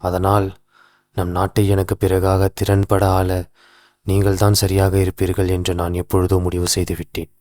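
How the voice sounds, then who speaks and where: A man playing a grieving, ailing old king in an animated folktale, speaking in formal, regal phrases. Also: low-pitched, moderate pace, no room sound, natural voice. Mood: resigned, sorrowful.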